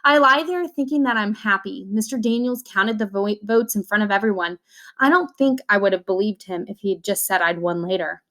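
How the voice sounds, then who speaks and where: A woman reading a children's novel aloud in an expressive way, acting out the dialogue as she goes. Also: mid-range voice, fairly quick.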